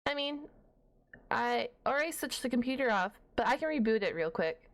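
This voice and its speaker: A woman playing a shy, nerdy young half-dragon librarian in an ASMR roleplay, speaking straight to the listener in a flustered, halting, playfully huffy way. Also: a little high, expressive. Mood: wary, sarcastic. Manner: flustered, halting, self-correcting, playfully indignant. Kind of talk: ASMR roleplay, one-sided dialogue to the listener.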